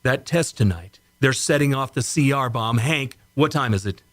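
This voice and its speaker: An amateur actor playing a frantic young test pilot in a radio play, urgent and pleading. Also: male voice, medium pitch, moderate pace, a bit bright, lightly acted.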